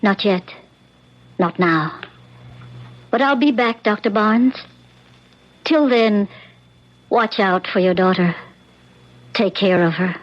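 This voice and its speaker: A mysterious woman speaks in a medium-pitched American voice, cryptic and insistent. She sounds like an ominous visitor in a radio play, on a dull vintage recording. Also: slow, long pauses. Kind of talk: 1970s American radio drama acting.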